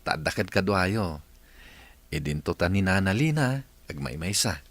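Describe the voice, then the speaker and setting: A very deep-voiced grown son acted by a man speaks with an Ilocano accent in a radio-style family drama. He comes across as earnest and devoted to his parents. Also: big pitch swings, measured pace, dry recording, heavily acted. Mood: warm, apologetic. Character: earnest adult son reconciling with long-lost parents.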